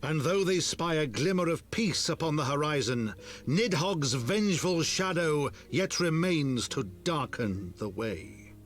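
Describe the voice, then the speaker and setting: An actor voicing a grand, solemn chronicler of heroic legend in scripted video game narration. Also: male voice, on the high side, expressive, unhurried. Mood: solemn, hopeful. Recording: music under the voice.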